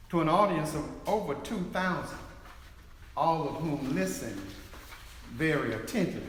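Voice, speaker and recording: A man playing a grave senator and peacemaker giving measured testimony in a dramatic reading. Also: fairly high pitch, fairly bright tone. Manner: deliberate, measured. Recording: very echoey hall, faint background noise.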